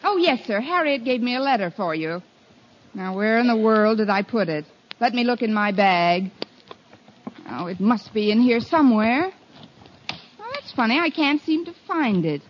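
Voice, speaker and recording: Woman playing a wry, animated wisecracking schoolteacher. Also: on the high side, normal speed, close to an everyday voice. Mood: dutiful, coaxing. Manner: dry, sardonic wisecracking. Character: wry, wisecracking female high school teacher. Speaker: lead of radio comedy. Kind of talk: 1950s radio comedy acting. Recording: muffled, noisy 1950 radio recording.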